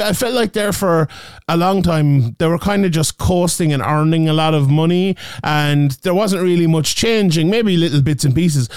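A man from Ireland with a Limerick accent, hosting a casual podcast chat, talking fast with stumbling restarts. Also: fairly high pitch, clear, resonant tone, no echo, clean studio sound. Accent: Limerick Irish. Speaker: podcast host.

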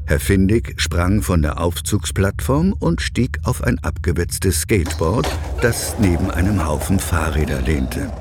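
A man's voice: low-pitched, sing-song, measured pace, few pauses, a little mellow, slight background noise. A man narrating a scripted children's story as a dramatic storyteller.

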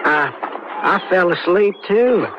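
Nervous sidekick, high voice, stammering, hissy lo-fi radio. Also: male voice, lively intonation, slow. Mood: anxious. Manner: stammering, hesitant. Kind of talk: 1970s radio drama acting. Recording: noisy lo-fi old radio broadcast.

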